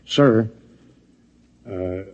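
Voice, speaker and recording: An American actor playing a middle-aged, formal and bemused Pentagon chief in a 1950s radio drama, deliberate and official. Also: male voice, lowish voice, warm tone. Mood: baffled. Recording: muffled old radio recording.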